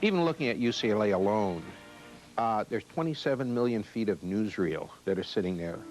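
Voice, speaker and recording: A man's voice: on the low side, expressive, a little fast, rough recording. A man speaking in an interview as an archive expert. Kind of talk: interview commentary. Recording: loud piano music behind the voice.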